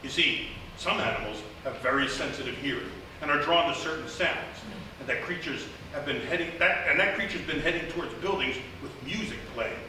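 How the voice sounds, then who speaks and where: An actor playing a cocky, take-charge small-town police chief in a live comedic radio play. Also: male voice, a little high, expressive, fairly quick, close to an everyday voice. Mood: confident, scheming. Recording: echoey live theatre from far off, faint noise.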